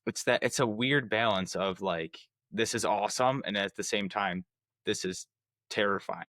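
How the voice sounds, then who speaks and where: A man's voice: fairly low pitch, moderate pace, a bit bright, no echo, studio quality. A young adult man hosting a podcast interview, asking long, rambling questions with frequent restarts. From United States. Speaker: podcast host. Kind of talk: casual interview chat.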